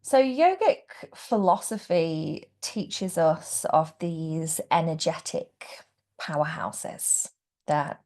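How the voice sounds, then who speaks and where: Female meditation guide, lowish gentle voice with heavy fry, hushed at phrase ends. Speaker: yoga nidra teacher guiding relaxation.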